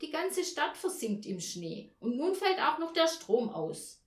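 A woman's voice: high voice, big pitch swings, a little slow, reverberant, pitched above the performer's own voice. A woman voicing an irritable, short-tempered mother who complains in a heated, emphatic way, in a storybook reading. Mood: frustrated, snappish.

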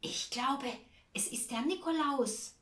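A woman's voice: sits high, slow pace, sharp tone, voice pushed up, heavily acted. A woman storyteller puts on a candid little girl from a storybook. The voice is lifted and eager, quite unafraid, with room echo around it. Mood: hushed, candid. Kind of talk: children's storybook character voice.

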